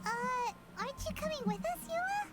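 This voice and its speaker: A woman's voice: very high-pitched, sing-song, moderate pace, over loud music. A woman voicing a tiny, chirpy, childlike fairy companion in an anime game. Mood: chirpy, curious. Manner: refers to self in third person, exclamatory.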